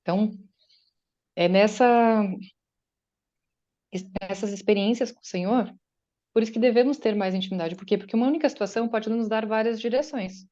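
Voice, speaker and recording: Woman, guest speaker at an online faith meeting: chatty, voices her listeners' doubts, mellow tone, dull call sound. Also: medium pitch, normal speed, long pauses. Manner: conversational, acts out listeners' doubts in quotes. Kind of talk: faith-based motivational talk. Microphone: video call.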